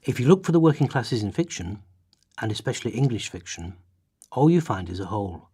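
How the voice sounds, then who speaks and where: A man's voice: medium pitch, sing-song, a little fast, mellow, slightly rough. A man giving declamatory, emphatic readings of quoted prose for a radio play. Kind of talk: dramatic read quotations in a radio play.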